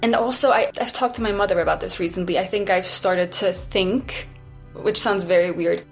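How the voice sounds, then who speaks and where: A woman's voice: medium pitch, normal speed, soft background music. A young woman from Switzerland with a Swiss German accent, a singer-songwriter chatting casually and often saying "like". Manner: chatty, with frequent "like" fillers. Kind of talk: casual conversation.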